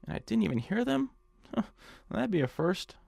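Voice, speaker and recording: A meek, hapless nebbish in a radio drama. His voice is creaky and dryly recorded, and he sounds anxious and flustered, hesitating and stammering. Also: high-pitched, sing-song, moderate pace, darkish tone, dry recording, heavily acted. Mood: puzzled.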